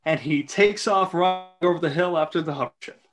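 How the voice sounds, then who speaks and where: A man's voice: fairly high pitch, brisk, over music. A man reading stage directions as narrator in an amateur script reading.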